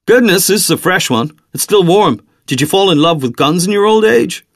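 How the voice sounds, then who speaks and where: Man voicing a breezy, high-pitched shop salesman. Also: expressive, normal speed, no room sound, studio quality. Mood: amused, teasing. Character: chatty, teasing male gun shop salesman.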